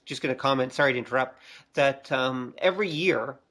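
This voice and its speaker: A man's voice: mid-range voice, brisk, fairly bright tone. A middle-aged man talking casually as a co-host in a podcast discussion. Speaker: podcast co-host, college teacher.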